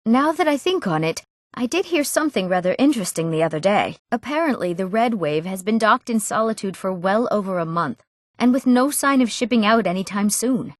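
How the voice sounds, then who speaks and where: A woman's voice: medium pitch, no room sound, clean studio sound, natural voice. An actress playing an eager, earnest young woman in a fantasy video game, speaking with careful articulation. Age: young adult.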